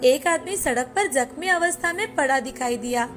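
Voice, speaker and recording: Female narrator for scripted moral stories, distinctly high voice, soft music bed underneath. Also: animated, moderate pace. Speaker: storyteller, narrator. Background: faint background music.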